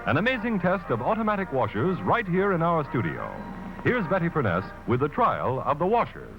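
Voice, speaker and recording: A man announcing an excited introduction to a live television commercial. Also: on the high side, a little fast. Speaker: broadcast announcer. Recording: dull old broadcast with music.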